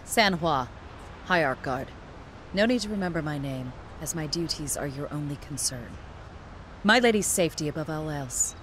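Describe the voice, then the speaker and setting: A woman's voice: deep voice, lively intonation, normal speed, fairly bright tone, a little hiss or hum. A woman voicing a stoic, devoted young royal guard in a video game, with formal, restrained, solemn delivery. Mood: solemn, reserved. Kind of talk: scripted video game character voice lines.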